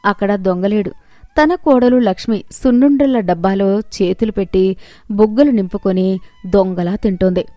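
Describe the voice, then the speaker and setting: A woman's voice: medium pitch, moderate pace, dark tone, no echo. A woman reading a scripted moral story as its narrator.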